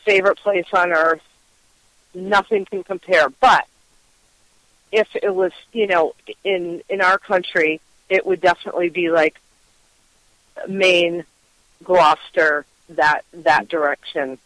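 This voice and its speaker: A woman's voice: on the low side, steady tone, takes it slowly, long pauses, a bit bright, no room sound, low-quality recording. A woman from Western Massachusetts hosting a casual interview, enthusiastic and rambling, laughing often. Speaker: podcast host.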